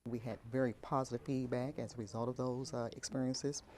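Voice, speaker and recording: Middle-aged woman, American accent, official interview answer, measured phrasing, flat intonation, indoor room. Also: extremely low pitch, slight background noise. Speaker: elections official.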